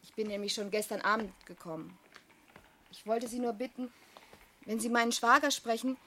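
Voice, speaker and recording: A woman's voice: fairly high pitch, fairly even intonation, normal speed, pushed and bright, slight background noise. An actress playing an earnest, sympathetic young working woman in a radio drama, speaking with sincere, intimate urgency. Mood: hesitant, confiding. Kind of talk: German radio drama acting.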